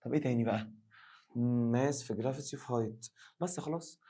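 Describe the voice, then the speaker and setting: A male science teacher with an Egyptian Arabic accent, hoarse, giving an animated exam revision lesson and acting out students' questions. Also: mid-range voice, fast.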